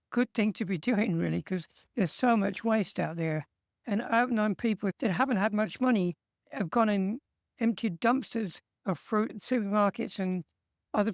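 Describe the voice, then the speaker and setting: A man from England with an English accent, co-hosting a casual chat and giving steady, earnest encouragement. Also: high voice, vocal fry, no echo, clean studio sound. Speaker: co-host.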